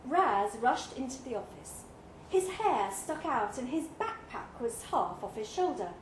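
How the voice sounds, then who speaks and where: A woman narrates a short story live in an echoey pub room. Her voice is high and her phrasing slow and measured, with clear noise behind her. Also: very animated. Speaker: story reader. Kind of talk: scripted literary narration, read live. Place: indoor live reading event in a pub.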